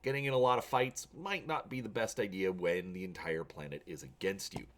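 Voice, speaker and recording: A man's voice: sits low, brisk. A man hosting a channel, talking casually to viewers and reading an adventure story aloud with drama.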